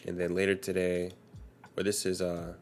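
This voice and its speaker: Low, flat-voiced man with a cold. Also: normal speed, faint music behind. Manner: reads market headlines aloud, loose and conversational. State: recovering from a cold, coughing. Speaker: forex trading streamer. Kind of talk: casual live-stream commentary.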